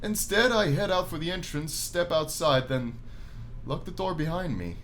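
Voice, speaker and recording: A man's voice: on the high side, lively intonation, normal speed, noisy background. A man hosting a gaming video, reading a visual novel aloud and commenting casually in a playful, storytelling way.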